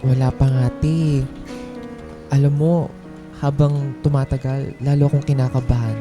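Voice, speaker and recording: A man's voice: medium pitch, level tone, unhurried, close to an everyday voice. A man playing an anxious, aggrieved office employee in a radio drama, speaking in a hesitant, polite, earnest way. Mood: nervous. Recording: music behind the voice.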